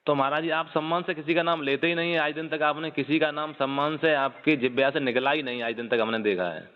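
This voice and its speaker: A man's voice: a little high, steady tone, quick pace. A man arguing on a phone call, pressing reproaches persistently while keeping respectful forms of address. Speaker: caller. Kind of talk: phone argument. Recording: muffled phone call recording with reverb.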